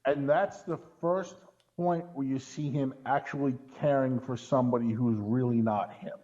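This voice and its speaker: A man's voice: mid-range voice, steady tone, moderate pace, warm tone. A man co-hosting a film podcast, giving emphatic opinions in casual discussion, on a slightly dull recording.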